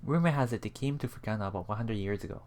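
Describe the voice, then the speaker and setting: A man's voice: on the low side, racing, darkish tone. A man hosting a let's play, reading visual novel text aloud and adding casual, reactive asides. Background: game music.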